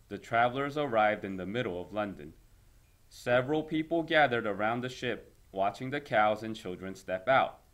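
Man, mid-range voice, teacher, expressive story reading, lesson narration. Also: medium pitch.

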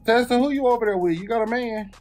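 A woman's voice: fairly high pitch, quick pace, darkish tone, soft background music. A woman on a live stream panel, chatty and nosy. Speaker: panel guest. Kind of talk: casual panel chat.

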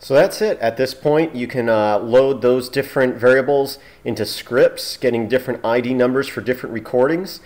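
A man presents a screencast tutorial in an informal way. His voice sits at a medium pitch, and he talks haltingly, thinking aloud as he works through each step. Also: a little mellow. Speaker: tutorial host.

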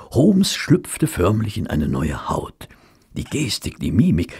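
A loyal gentleman companion in a German radio play. His voice is husky and creaky, rising and falling in a lively way. He speaks in a measured manner with a mild note of doubt. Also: fairly low pitch, full character voice. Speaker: actor and narrator in an audio drama. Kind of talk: German audio drama dialogue and narration.

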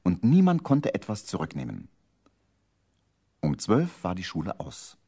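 A man's voice: low voice, wildly swooping pitch, a little slow, dark tone, full character voice. A man narrating a children's radio play as its scripted storyteller. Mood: storytelling.

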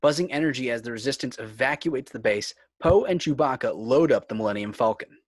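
A man with a mid-pitched voice narrates a screenplay's stage directions. His delivery is measured and clipped, recorded close with almost no room sound. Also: dry recording. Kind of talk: scripted narration in a fan table read.

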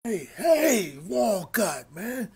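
Man voicing an indignant, high-pitched turtle. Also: very animated, very slow, creaky, background noise, full character voice. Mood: indignant, fed up. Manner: indignant, slangy street talk. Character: grumpy put-upon male turtle being ridden by a cat. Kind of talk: comic animal voiceover.

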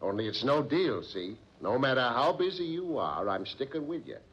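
An actor playing a blunt, slangy, suspicious police sergeant in 1940s radio drama style. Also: male voice, mid-range voice, sing-song, moderate pace, fairly bright tone, breathy edge. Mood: stubborn. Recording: muffled vintage 1940s radio recording.

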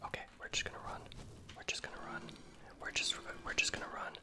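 A man whispering gameplay commentary in an ASMR style, talking himself through tense moments and repeating words when he panics. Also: quick pace. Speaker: gaming video creator.

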